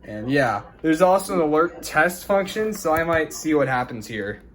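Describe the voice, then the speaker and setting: A man's voice: on the high side, very animated, moderate pace, a bit bright. A man hosting a casual, rambling unboxing video in an informal way. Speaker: video host. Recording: noisy home recording with clicks.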